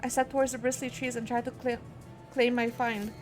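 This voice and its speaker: A woman's voice: a little high, little pitch movement, brisk. A woman game streamer reading a horror visual novel aloud, dramatically, with spontaneous asides. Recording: music behind the voice. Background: game music.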